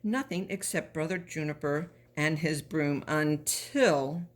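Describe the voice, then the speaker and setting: A woman's voice: deep voice, takes it slowly. Woman reading a children's story expressively. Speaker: storybook reader.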